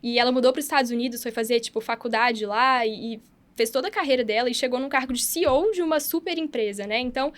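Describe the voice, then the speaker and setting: Fast, high-voiced enthusiastic woman host. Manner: enthusiastic, fast storytelling with many fillers. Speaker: podcast host, radiotherapy doctor and app founder. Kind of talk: casual podcast conversation.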